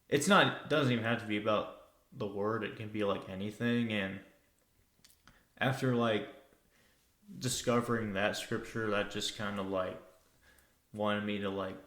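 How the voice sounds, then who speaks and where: Slow-talking young man, echoey room. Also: lowish voice, fairly bright tone. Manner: unhurried, hesitant, many filler words. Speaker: podcast co-host. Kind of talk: casual podcast chat.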